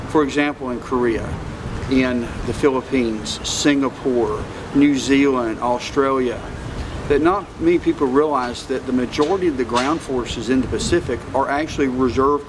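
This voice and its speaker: A man speaking as an army reserve commander, giving a reflective interview answer. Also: mid-range voice. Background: steady ambient noise.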